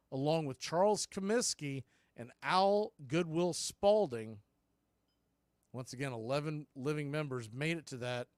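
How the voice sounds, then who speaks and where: A man hosting a baseball broadcast, giving play-by-play commentary in clipped phrases with deliberate pauses. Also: a little high, no echo, clean studio sound. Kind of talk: live play-by-play commentary mixed with history recap.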